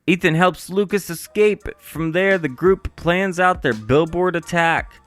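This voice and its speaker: A man's voice: high-pitched, measured pace, a bit bright, soft background music. A man narrating a scripted review as the reviewer.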